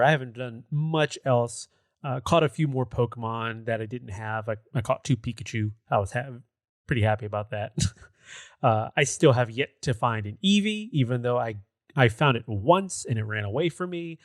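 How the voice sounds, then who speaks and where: A man's voice: medium pitch, animated, moderate pace, no echo, clean studio sound. A man co-hosting a gaming podcast, chatting casually in a conversational, rambling way. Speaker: podcast co-host.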